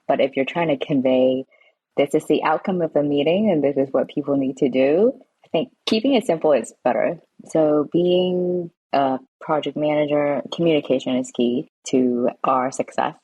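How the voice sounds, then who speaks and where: A low-pitched woman with a slightly husky voice speaks casually as a podcast guest. Her speech is loose and explanatory, full of small fillers. The recording is dry and close, like a video call. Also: mellow, dry recording, low-quality recording. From San Francisco, USA. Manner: explanatory, uses fillers like "kinda" and "like". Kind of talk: casual interview answers. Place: home or office, evening.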